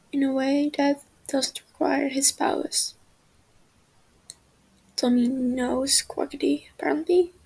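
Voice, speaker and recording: A woman narrating a fan fiction audiobook in a soft, hushed, half-whispered voice. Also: high voice, gravelly, poor audio.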